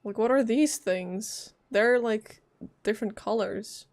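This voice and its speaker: A woman's voice: on the high side, slow pace, noisy background. A woman live-streaming a game, giving casual, chatty reactions and commentary as she plays. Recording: game music behind voice.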